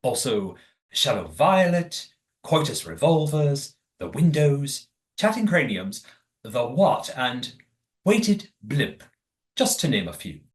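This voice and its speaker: Actor as droll manor gentleman, lively intonation. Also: mid-range voice, slow. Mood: politely informative. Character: tipsy, genteel male host of a manor. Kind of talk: audio play acting.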